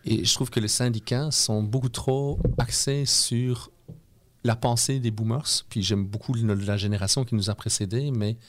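A man's voice: medium pitch, steady tone, normal speed, a little hiss or hum. A middle-aged man from Belgium with a Belgian French accent, a guest in an interview explaining his ideas in an earnest, persuasive way. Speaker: guest speaker. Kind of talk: interview conversation.

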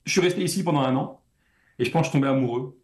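A man's voice: fairly high pitch, very fast. A young man from France, a comedian guest, speaking French with a slight Québécois lean, talking animatedly and often repeating words as he thinks. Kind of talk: casual interview chat.

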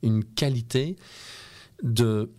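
A man's voice: mid-range voice, drawn-out words, warm tone. Earnest Belgian-accented middle-aged man. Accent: Belgian French. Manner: earnest, explanatory, persuasive. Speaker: guest speaker. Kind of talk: interview conversation.

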